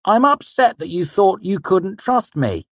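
A man's voice: a little high, lively intonation, normal speed, darkish tone, no echo, pitched above the performer's own voice. A man voicing an indignant, theatrical young male fae creature, fussy and haughty, for a storybook. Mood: injured.

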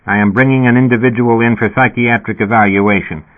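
A man's voice: mid-range voice, steady tone, brisk, natural voice. A man voicing a blunt, crude, skeptical male police officer. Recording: tinny phone-like sound.

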